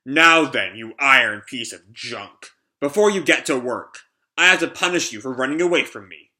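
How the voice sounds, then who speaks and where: Guy playing a mean gang boss from a comic, bullying threats, really forceful sharp voice. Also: medium pitch, expressive, normal speed. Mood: menacing. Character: menacing hulking male gang boss, cruel and short-tempered.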